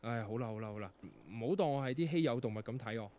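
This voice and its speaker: A man's voice: medium pitch, fast, darkish tone, lightly acted. An actor playing a weary, grumbling young male composer in a radio drama. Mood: resigned, explaining. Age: young adult. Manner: grumbling, dry, sighing. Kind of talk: Cantonese radio drama acting.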